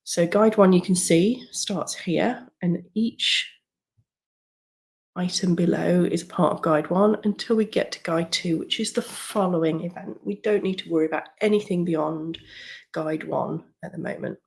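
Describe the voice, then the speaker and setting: A woman with a cold and a slightly croaky voice, walking a webinar audience through her online group in a chatty way with many fillers and restarts. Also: lowish voice, fairly even intonation, normal speed, darkish tone. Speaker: writing coach. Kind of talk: screen-share webinar walkthrough.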